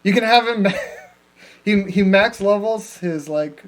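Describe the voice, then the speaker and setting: A man's voice: high-pitched, slow. A man co-hosting a podcast, chatting casually in a joking, rambling, profane way. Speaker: podcast co-host. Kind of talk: casual comedic chat among friends.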